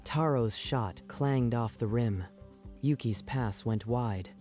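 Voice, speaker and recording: A man's voice: mid-range voice, slow pace, warm tone, faint music behind. A man narrating a dramatic story from a script in a storytelling style.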